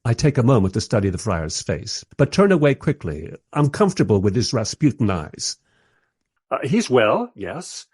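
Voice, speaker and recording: Male actor as wry documentary maker, husky, animated, expressive first-person storyteller, close dry sound, radio drama. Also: mid-range voice, moderate pace, no room sound. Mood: observant, wry. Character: wry, enthusiastic documentary maker narrating his historical encounters. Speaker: narrator.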